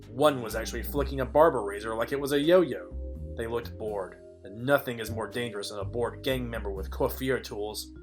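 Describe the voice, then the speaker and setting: Casting: man to play world-weary private eye narrator for noir spoof audio play, mid-range voice, dry deadpan wit. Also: mid-range voice, close to an everyday voice. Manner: deadpan, dryly wry. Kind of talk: film noir parody narration.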